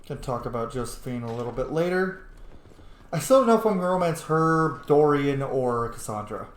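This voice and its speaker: A man hosting a gaming video in a lively, swooping middle-pitched voice. He thinks aloud casually and playfully, swearing a little, in a noticeably echoey room. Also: moderate pace. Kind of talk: casual let's-play commentary.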